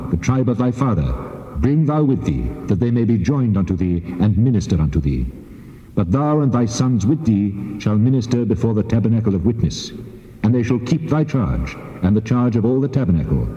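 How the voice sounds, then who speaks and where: An actor playing the God of Israel in dramatised scripture, solemn, measured and commanding, with heavy reverb on his voice. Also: medium pitch, warm tone. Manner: solemn, measured, authoritative declaiming. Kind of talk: dramatised scripture reading in King James English. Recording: muffled tape, long cavernous reverb.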